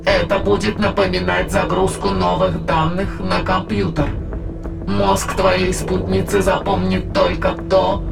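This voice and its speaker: A man playing a cold, calculating alien entity in an audio drama, speaking in a measured, clinical way over music. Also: sits high, completely flat delivery, creaky, heavily acted. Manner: measured, clinical, matter-of-fact. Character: alien immaterial entity in a dead woman's body, cold, calculating.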